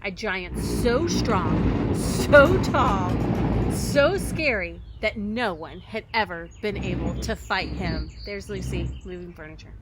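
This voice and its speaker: A woman reading a children's story aloud expressively, with dramatic emphasis, pauses and occasional hushed phrasing. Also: mid-range voice, huge pitch swings, over loud music. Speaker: storybook reader.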